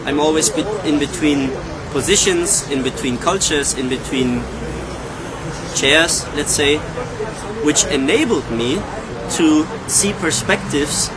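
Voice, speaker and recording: A man's voice: on the high side, rough recording. Earnest man over crowd noise. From Germany. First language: German. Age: 30s. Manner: earnest, thoughtful, searching for words. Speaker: interviewee. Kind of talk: reflective personal testimony. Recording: loud crowd noise outdoors.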